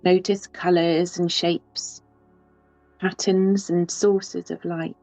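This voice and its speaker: A woman leading a guided yoga nidra relaxation, speaking softly and unhurriedly with long pauses. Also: on the low side, flat delivery, no echo. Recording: slightly dull, with soft music behind.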